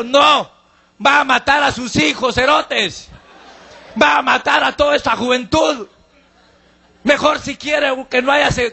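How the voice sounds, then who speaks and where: A man with a Guatemalan accent doing a crude, shouting devil doctor character in a live stand-up act on a handheld mic. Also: extremely high pitch, gravelly, breathy edge, heavily acted. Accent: Guatemalan Spanish. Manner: shouting, vulgar, mocking. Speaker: stand-up comedian. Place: outdoor-style stage at a live charity show.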